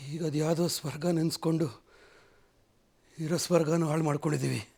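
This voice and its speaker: A man playing an angry, commanding film villain in a forceful, scolding dialogue. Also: on the high side, steady tone, brisk, vocal fry. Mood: stern, bitter. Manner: forceful, scolding rhetorical delivery.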